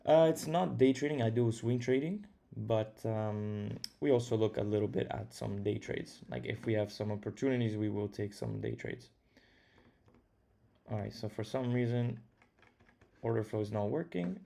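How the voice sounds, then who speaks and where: A man's voice: on the low side, normal speed, gravelly, warm tone. A young man with an Italian accent hosting a live trading stream from home, talking casually and thinking aloud with fillers. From Italy. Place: his home.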